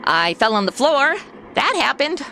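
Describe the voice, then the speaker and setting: A woman's voice: sits high, big pitch swings, unhurried, heavy background noise, full character voice. An actress playing a sassy, flippant modern woman in a comedy audio drama, sarcastic, chatty and full of complaints. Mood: complaining. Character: sassy, flippant modern woman stuck in 1950s noir Cuba.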